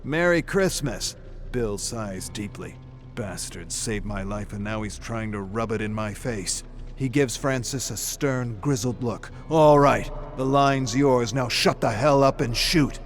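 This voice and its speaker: A man's voice: medium pitch, unhurried, close to an everyday voice. A man playing a grizzled, cynical older war veteran, narrating a dramatic story in folksy tough-guy slang. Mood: gruff, exasperated. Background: loud music.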